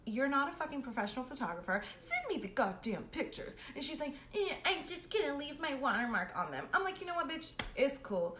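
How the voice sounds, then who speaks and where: A woman's voice: high-pitched, very animated, brisk, hardly any pauses, bright, forceful tone. A young woman telling a personal story casually and animatedly, with brash, slangy, heavily profane talk, acting out both sides of exchanges. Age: young adult. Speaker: host of a storytime series. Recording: roomy echo with faint background noise.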